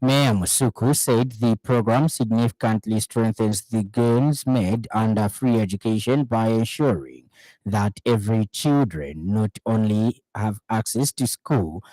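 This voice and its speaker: A man with a Zambian accent reading a scripted radio news bulletin in a formal, measured manner. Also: on the low side, warm tone, no echo, low-quality recording. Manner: formal, measured newsreader delivery.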